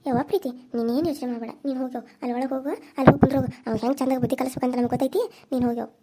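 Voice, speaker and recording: A woman's voice: high-pitched, fairly even intonation, racing, heavy background noise, voice pushed down. A woman voicing a stern older village mother-in-law with a North Karnataka accent, talking in a bossy, scolding way. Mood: soothing. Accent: North Karnataka Kannada.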